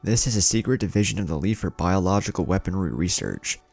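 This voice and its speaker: Calm young kunoichi, played by a male narrator: low and creaky, patient explainer, anime audio story. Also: fast talker, dry recording, faint music behind, exaggerated voice. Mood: explanatory. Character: composed young kunoichi woman explaining secret matters.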